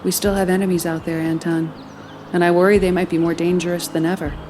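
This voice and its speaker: A woman's voice: lowish voice, little pitch movement, fast, darkish tone, slight background noise, lightly acted. An actress playing a caring, determined woman leader in an audio drama, speaking in a frank, earnest and tender way. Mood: grave, resolute. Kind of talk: naturalistic audio drama acting. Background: music.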